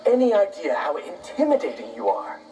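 Lively, proud ninja warrior. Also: male voice, a little high, a little fast. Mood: confident. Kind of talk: video game fight intro dialogue. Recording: distant echoey game audio under loud music.

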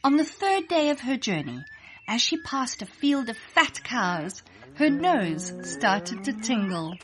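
A woman narrating a scripted children's story in an expressive read-aloud manner. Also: a little high, slow, faint music behind, rough recording.

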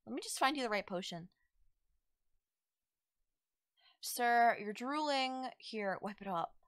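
A woman acts out a playful young forest witch for an ASMR roleplay. Her pitch swings expressively as she teases, coaxes and reassures the listener. The sound is close and dry. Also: animated, clean tone, no echo, close to an everyday voice. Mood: playful, teasing. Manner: coy, soothing, conversational with drawn-out words. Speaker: roleplay voice actor.

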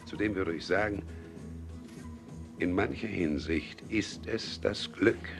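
An odd hunchbacked scholar in a radio play, really low voice, dry n cryptic, music behind. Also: male voice, takes it slowly, vocal fry, exaggerated voice. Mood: wry, melancholy. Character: eccentric hunchbacked lizard scholar, cryptic and dryly blunt. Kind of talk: literary radio play acting.

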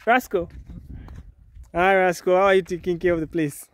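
High-pitched man, inquisitive vlogger. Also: level tone, moderate pace. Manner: inquisitive, informal. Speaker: vlogger host. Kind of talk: casual walking-tour chat. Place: outdoors on a small farm. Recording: noisy outdoor farm recording.

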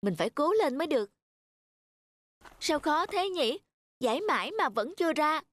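A woman playing a proud, competitive schoolgirl in an animated cartoon. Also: high voice, normal speed. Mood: determined.

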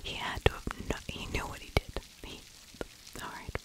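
A middle-aged woman narrates in a steady ASMR whisper, with no voice in it, only breath. She sits close to the microphone and talks in a conversational, opinionated way. Pauses break up her true crime storytelling. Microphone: close microphone with furry windscreen.

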